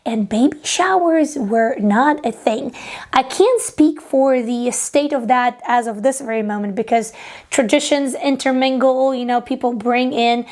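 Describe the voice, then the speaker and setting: High-voiced young woman from Latvia. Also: a little slow, a little mellow. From Latvia, Eastern Europe. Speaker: sewing vlogger. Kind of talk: casual personal vlog.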